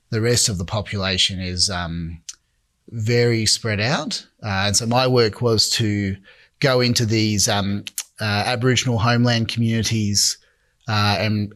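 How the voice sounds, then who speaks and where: A man with an Australian accent, a company founder being interviewed, who explains things in a measured way with many ums. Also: on the low side, a bit bright. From Northern Territory, Australia.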